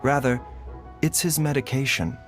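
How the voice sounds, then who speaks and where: A man voicing a composed, erudite doctor in a video game, speaking in measured, formal phrases. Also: mid-range voice, steady tone, faint music behind, lightly acted. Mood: grave, explanatory. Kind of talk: English video game voice acting.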